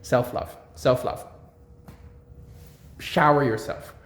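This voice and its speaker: A man in his forties talking casually to his viewers, animated and repeating words, with frequent fillers and tag questions. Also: medium pitch, takes it slowly, slight background noise. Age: 40s. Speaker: vlogger. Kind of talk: casual monologue to camera. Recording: echoey room.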